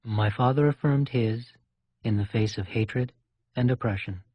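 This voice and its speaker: A man's voice: mid-range voice, a little slow, no room sound. A man with a Canadian accent, reading a reflective scripted narration. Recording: dry, muffled audio with music behind. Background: music.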